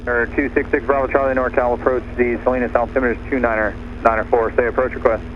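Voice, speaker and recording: A man issues clipped controller instructions in a medium-pitched voice. It arrives over a lo-fi aircraft radio. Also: level tone, fast talker. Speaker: air traffic controller. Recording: dull aircraft radio with clear engine noise.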